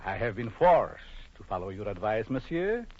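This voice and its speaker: Sinister, husky jealous doctor, old radio. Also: male voice, lowish voice, big pitch swings, moderate pace, a little mellow. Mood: gloating menace. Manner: menacing, mock-polite, theatrical. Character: vengeful jealous husband, coldly sinister doctor with mock courtesy. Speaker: actor. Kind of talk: vintage radio horror acting. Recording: muffled vintage radio broadcast.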